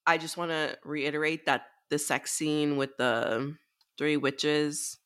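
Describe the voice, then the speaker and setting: A woman's voice: sits low, steady tone, slow, fairly bright tone, clean tone. A woman co-hosting a podcast in a casual chat about books. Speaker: podcast co-host.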